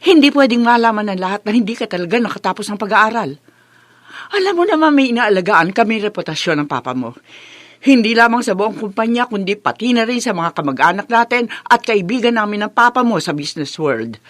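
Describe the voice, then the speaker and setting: A woman's voice: medium pitch, very animated, a little fast, dry recording, natural voice. A Filipino woman acting a stern, status-conscious, middle-aged mother who scolds and commands her son in a scripted drama. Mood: scolding.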